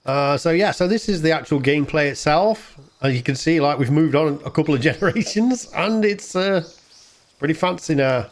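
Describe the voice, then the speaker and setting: A man's voice: on the high side, lively intonation, normal speed. A man hosting a gaming channel, giving casual gameplay commentary in an informal, rambling way. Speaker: gaming YouTuber, host.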